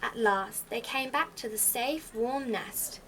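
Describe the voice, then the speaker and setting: A woman reading a children's storybook aloud as an expressive storyteller. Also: on the high side, slow. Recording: faint background noise.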